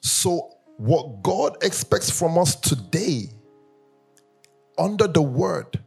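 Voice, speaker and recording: A man from Nigeria preaching a sermon, telling humorous personal stories in a lively way that rises into sing-song emphasis. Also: on the high side, slow, creaky, husky, soft background music. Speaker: pastor.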